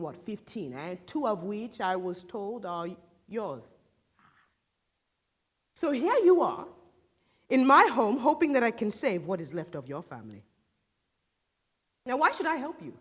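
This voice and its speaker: A woman playing a proud, bitter Nigerian village woman accused of witchcraft in a theatrical stage monologue, with a Nigerian accent, taunting delivery and loaded pauses. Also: mid-range voice, close to an everyday voice. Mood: scornful, brooding. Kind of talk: solo dramatic stage monologue.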